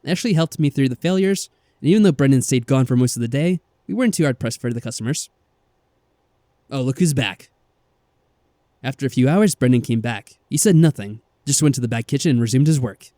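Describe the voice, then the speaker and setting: A man doing casual let's play commentary, reading game text aloud with animated, mildly sarcastic reactions and some swearing. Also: medium pitch, a little fast, dry recording, clean studio sound. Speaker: let's player. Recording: music behind the voice.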